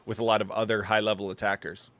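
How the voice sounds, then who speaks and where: A man's voice: fairly low pitch, level tone, fast talker, fairly bright tone, dry recording. A man hosting a podcast, enthusiastic and folksy as he asks questions. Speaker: podcast host. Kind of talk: casual podcast interview.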